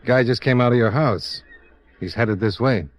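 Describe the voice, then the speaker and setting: An American actor playing a wry, easygoing insurance investigator with dry, understated wit in a 1950s radio drama. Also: male voice, medium pitch, normal speed, soft background music, lightly acted. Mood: relaxed, teasing.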